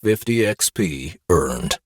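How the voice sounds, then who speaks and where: A man's voice: sits low, expressive, slow pace, dry recording, studio quality, full character voice. A man voicing an impersonal game-system announcer, giving formal, clipped notifications in a fantasy audiobook. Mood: official announcing.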